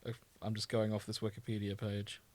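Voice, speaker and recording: A man's voice: on the low side, monotone, a little fast, a little mellow. A man co-hosting a podcast, chatting casually in a jokey, wry way. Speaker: podcast co-host. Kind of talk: casual chat.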